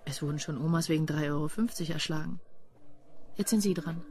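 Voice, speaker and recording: A woman's voice: low-pitched, a little fast, music in the background. An actress playing a terse, sardonic female investigator in a crime radio drama. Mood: dry, businesslike. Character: brisk, sardonic female investigator named Katja.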